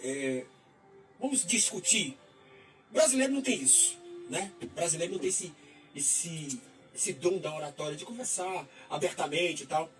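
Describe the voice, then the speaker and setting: Fifties radio host, high-pitched voice. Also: male voice, expressive, normal speed. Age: 50s. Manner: chatty, agreeable, folksy. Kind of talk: casual radio interview. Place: radio studio. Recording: distant mic, faint music underneath.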